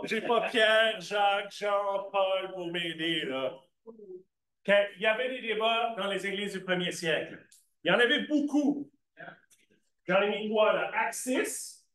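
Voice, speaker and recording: Lively man teaching. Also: high-pitched. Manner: lively and conversational, questions the class and answers himself; slips into English asides. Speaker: Bible teacher. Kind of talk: church Bible class, talking to students. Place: church classroom. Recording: echoey room.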